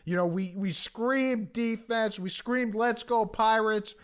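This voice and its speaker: Hoarse high-voiced American man. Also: steady tone, slow pace. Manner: earnest, many restarts and you-knows. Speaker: podcast co-host. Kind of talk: casual sports chat.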